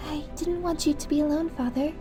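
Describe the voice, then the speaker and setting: Very high-pitched little girl played by a woman. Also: steady tone, fairly quick, lightly acted. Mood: devoted. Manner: childlike, questioning. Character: small anxious girl, devoted creation of a dark god. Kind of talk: audio drama acting. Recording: clear music under the voice.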